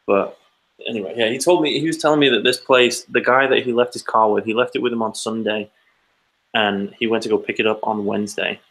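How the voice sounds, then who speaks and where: Male co-host with a gravelly, somewhat low voice, dry and teasing, chatting casually. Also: fairly even intonation, a little fast, fairly bright tone, rough recording. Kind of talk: casual chat over a call.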